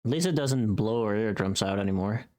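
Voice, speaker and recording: A woman's voice: rumbling low, brisk, warm tone. A woman chatting casually on a livestream in a playful, teasing manner, swearing now and then. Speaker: streamer.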